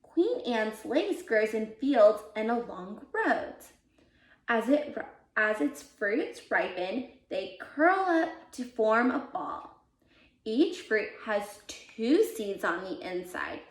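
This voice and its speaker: A woman reading a children's picture book aloud as a volunteer, with deliberate, expressive emphasis for young listeners. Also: fairly high pitch. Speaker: volunteer read-aloud reader. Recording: roomy, echoey sound.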